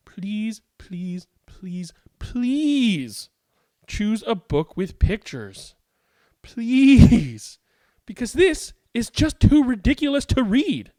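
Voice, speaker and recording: A man's voice: high-pitched, dry recording, full character voice. A man playing an exasperated grown-up forced to read a silly storybook, whiny, incredulous and pleading. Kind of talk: comic children's storybook acting.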